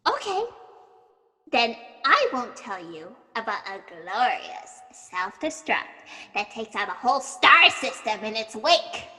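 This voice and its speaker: Woman as a squeaky, flustered office underling. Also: slow, in a large hall, heavily acted. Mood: impatient. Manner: excitable, apologetic. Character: flustered, fast-talking, anxious young female fact-checker underling. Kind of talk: sci-fi satire audio drama acting.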